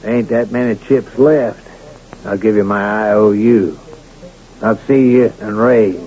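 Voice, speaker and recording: An American actor playing a crooked, domineering town boss and gambler in a Western radio drama, with rough colloquial talk. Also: male voice, fairly low pitch, slow, mellow. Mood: gruff, challenging. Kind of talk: old-time radio drama acting. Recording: muffled, noisy old radio recording.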